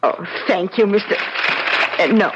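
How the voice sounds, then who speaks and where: A woman's voice: medium pitch, animated, a little slow, background noise, close to an everyday voice. A woman playing a friendly older neighbour in a radio drama, chatty, flustered and apologetic. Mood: grateful. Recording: dull lo-fi old radio recording.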